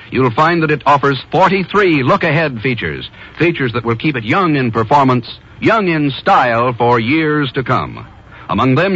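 A man with an American accent announcing and pitching sponsors in a polished, emphatic 1950s radio style. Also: pushed and bright, slightly rough, lo-fi sound. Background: music.

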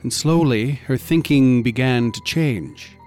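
A man playing a grave storyteller in a radio drama, narrating in a measured, ornate and ominous manner. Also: mid-range voice, darkish tone, lightly acted. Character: grave storyteller recounting a grisly tragedy. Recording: music behind.

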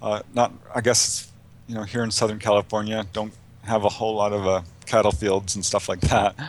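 A man's voice: on the low side, little pitch movement, fairly quick, slight background noise. A man answering interview questions as a researcher, in a hesitant, conversational way. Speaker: interview guest, UFO researcher.

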